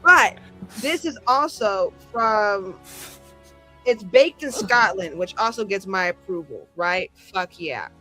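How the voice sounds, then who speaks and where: A woman's voice: medium pitch, animated, slow, sharp tone, poor audio. Woman chatting playfully over background music. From Colombia. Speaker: player on a tabletop roleplaying show. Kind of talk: casual, playful group chat.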